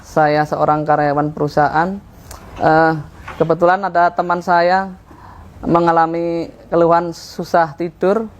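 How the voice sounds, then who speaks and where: A man's voice: fairly high pitch, little pitch movement, unhurried. A man from East Kalimantan giving a plain spoken testimonial as a company employee. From East Kalimantan, Indonesia. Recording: clear ambient background noise.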